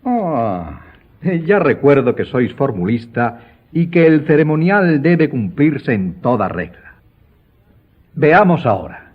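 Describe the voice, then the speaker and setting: An actor playing a proud count and military officer in a radio drama, pompous, formal and insistent. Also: male voice, medium pitch, big pitch swings, slow pace. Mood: pompous formality. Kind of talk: Spanish radio drama acting. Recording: thin phone-like audio.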